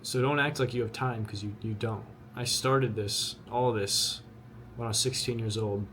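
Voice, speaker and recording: A man's voice: mid-range voice, fairly even intonation, normal speed, fairly bright tone, slight background noise. A twenty-year-old man giving a blunt, earnest motivational talk to the camera. He repeats himself often and talks straight to his listener. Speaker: vlogger.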